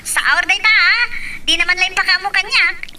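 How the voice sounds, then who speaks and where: A woman's voice: extremely high pitch, steady tone, normal speed, sharp tone, far from the mic, slight background noise, full character voice. A woman playing a bubbly, teasing young friend in an Ilocano audio drama. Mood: bubbly, surprised.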